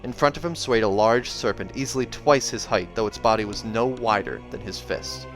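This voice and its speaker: A man's voice: fairly low pitch, normal speed. Man reading a fantasy story over music. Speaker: reader and channel host. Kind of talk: expressive audiobook narration with casual asides. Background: soundtrack music.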